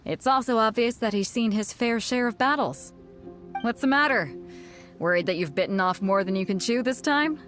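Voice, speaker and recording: A woman's voice: a little high, animated, moderate pace, fairly bright tone. An actress playing a confident, shrewd female sea captain in a game, self-assured and wryly teasing. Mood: sizing up. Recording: game cutscene with faint music.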